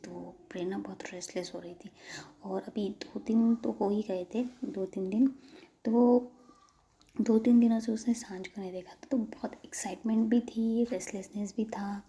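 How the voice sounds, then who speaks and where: A woman tells a story in a soft, half-whispered way. Her voice is mellow and warm, at a middle pitch. The delivery is hushed and intimate. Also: fairly even intonation, moderate pace, gravelly. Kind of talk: audio story narration.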